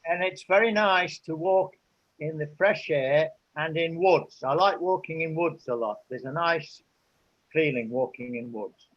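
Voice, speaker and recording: Older man with a muffled voice, hesitant. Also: on the high side. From England. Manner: unhurried, hesitant with frequent fillers. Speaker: interviewee. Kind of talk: casual interview answers.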